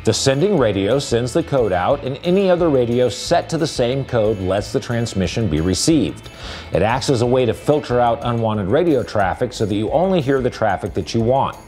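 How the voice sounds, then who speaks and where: Man, scripted product explainer, animated pitch, faint music underneath. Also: moderate pace. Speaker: product presenter.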